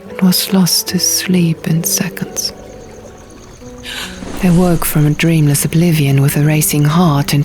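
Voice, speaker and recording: A woman reading a first-person fantasy audiobook in a measured, dramatic storytelling manner. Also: deep voice. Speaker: audiobook narrator. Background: music and sound effects.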